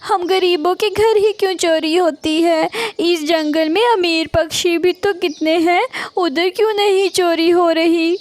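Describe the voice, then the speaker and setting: A woman's voice: very high voice, steady tone, a little slow, few pauses, voice pushed up. A woman voicing a poor, plaintive parrot pleading for help in a cartoon story. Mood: tearful, aggrieved. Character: poor tearful parrot robbed of its grain.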